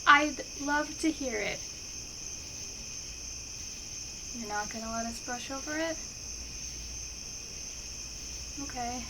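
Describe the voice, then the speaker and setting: A woman playing a shy, flustered, affectionate best friend in an intimate ASMR roleplay, speaking haltingly and bashfully. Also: high-pitched. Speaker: voice actor in an audio roleplay. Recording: clear insect and outdoor ambience noise. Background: crickets and night ambience.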